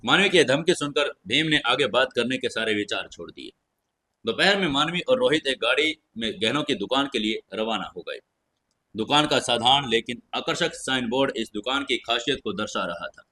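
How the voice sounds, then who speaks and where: A man's voice: mid-range voice, expressive, moderate pace, pushed and bright. A man narrating a scripted fantasy audio story in a dramatic storytelling style.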